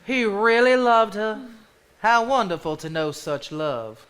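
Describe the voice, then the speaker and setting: A woman's voice: medium pitch, animated, slow, smooth. A woman acting in a live stage play as a wry, knowing small-town woman of the 1950s. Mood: moved. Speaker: stage actor.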